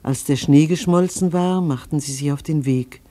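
An actor playing a solemn chronicler who recites a family history like scripture in a radio play. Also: male voice, fairly high pitch, normal speed, mellow, natural voice. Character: solemn biblical-style chronicler of a settler family.

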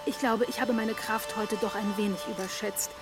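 Weakened gentleman played by a man, deferential, courteous, weary, radio drama, clear music bed. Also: sits high, fairly even intonation, normal speed, close to an everyday voice. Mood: weary, apologetic. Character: polite, weakened man excusing himself to rest. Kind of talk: German radio drama acting.

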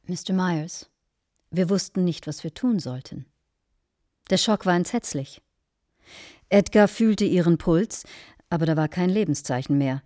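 Radio drama: earnest, formal wife, dramatic storyteller, very dry studio sound. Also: female voice, on the low side, lively intonation, unhurried, long pauses, warm tone, dry recording. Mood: shaken, earnest. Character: earnest married woman solemnly recounting a shocking anecdote to a guest. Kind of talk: German radio play acting.

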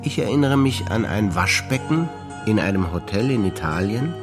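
A male stage actor reciting personal memories in a measured theatre performance, over music. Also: on the low side, lively intonation. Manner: measured, alternating lines of remembrance.

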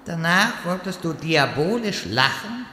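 A man playing a solemn but politely chatty captive wizard in a comic fantasy audio drama, with measured deadpan delivery. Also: a little high, heavily acted. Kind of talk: German audio drama parody. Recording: echoey hall reverb, faint noise.

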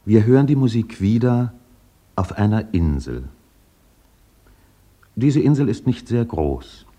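Brooding literary radio-play narrator, low, breathy-rough voice, deliberate solemn delivery. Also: male voice, darkish tone.

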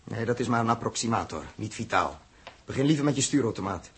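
An actor playing a serious, authoritative spaceship captain in a 1960s Dutch radio drama, with a Netherlands accent. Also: male voice, fairly low pitch, expressive, fast, breathy edge, heavily acted. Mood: commanding. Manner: authoritative, clipped orders. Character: commanding, terse male spaceship captain, serious and urgent. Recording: noisy 1960s radio recording.

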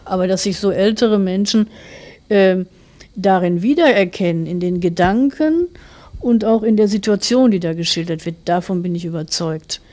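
A woman's voice: mid-range voice, moderate pace, darkish tone. A woman of forty, an author answering interview questions in a reflective, careful, explanatory way.

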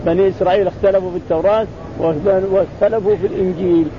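A man's voice: steady tone, very fast. A man teaching a religious text, explaining it in spoken commentary and repeating phrases as he works through each point. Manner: repeats phrases, restarts words, acts out quoted exchanges. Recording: muffled recording with loud rumbling noise.

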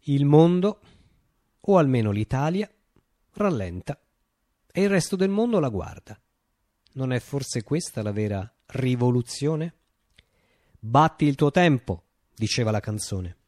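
A man's voice: mid-range voice, very animated, a little mellow, no echo, clean studio sound. Man acting a declamatory, visionary revolutionary leader, swooping intonation. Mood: ironic, proclaiming. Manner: declamatory, with deliberate dramatic pauses. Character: visionary utopian revolutionary leader, poetic and grandiose. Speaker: actor performing a radio monologue. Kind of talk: theatrical radio drama monologue.

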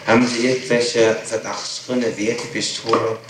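A man questioning someone as a prosecutor, deliberate and insistent, repeating his points. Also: mid-range voice, little pitch movement. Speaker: public prosecutor. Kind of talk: interrogation, questioning a witness. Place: echoey room. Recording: echoey, noisy 1960s tape recording.

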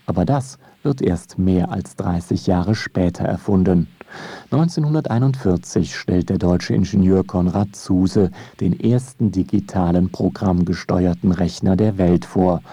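A man's voice: low voice, mellow, breathy edge, dry recording. A man reading scripted documentary narration for a radio feature in a wry, ironic manner. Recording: music behind the voice. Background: music.

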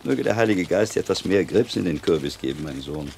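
A shrewd master monk explains things in a low, very animated voice. He is self-assured, teasing and didactic, in radio drama style. Ambient sound runs behind him. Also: a little fast. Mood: wry, lecturing. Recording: clear ambient noise behind voice.

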